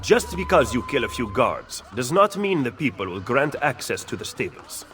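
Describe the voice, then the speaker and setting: Game casting: skeptical schemer, measured, formal and admonishing, heard over music. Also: male voice, mid-range voice, sing-song, a bit bright. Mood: guarded. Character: cautious, shrewd Italian Renaissance male political strategist, stern and skeptical. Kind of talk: dramatic video game acting. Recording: music behind the dialogue.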